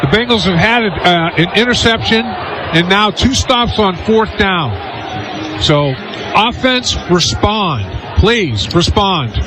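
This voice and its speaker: An American man giving casual, halting football analysis as a color commentator. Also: high-pitched, lively intonation. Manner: halting, repeats words, says "you know". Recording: noisy stadium broadcast, loud crowd.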